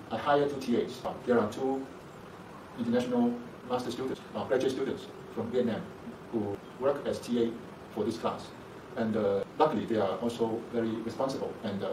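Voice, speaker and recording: A male university lecturer whose first language is Chinese, explaining things in an interview with frequent "uh" fillers. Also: mid-range voice. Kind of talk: interview for a program promo. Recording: echoey room, distant mic, background noise.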